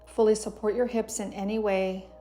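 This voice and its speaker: A woman guiding a yoga meditation in a soothing, gentle, unhurried way, as a teacher giving calm instructions. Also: mid-range voice, soft background music. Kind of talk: guided meditation narration.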